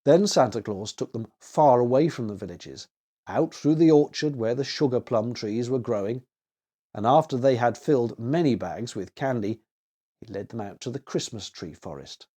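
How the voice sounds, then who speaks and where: A man narrates a children's story in a mid-pitched voice. His delivery is very animated, swinging up and down with expressive, dramatic emphasis. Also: moderate pace, husky.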